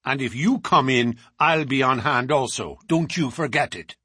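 A man voicing a menacing male prizefighter thug who talks in rough, ungrammatical threats. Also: medium pitch, normal speed, sharp tone, dry recording, clean studio sound. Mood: threatening.

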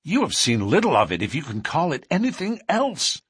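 A man's voice: lowish voice, big pitch swings, measured pace, husky, dry recording, studio quality, heavily acted. A man voicing a cautious, bitter older man in an audiobook, hesitant and guarded with anguished outbursts. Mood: vehement. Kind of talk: audiobook character dialogue.

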